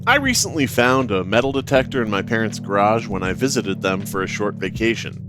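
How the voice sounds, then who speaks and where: A man's voice: on the low side, lively intonation, a little fast, lightly acted. A man playing a casual, friendly internet poster, reading a scripted post in a chatty, informal way. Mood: chatty, curious. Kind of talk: scripted first-person narration, conversational. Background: music.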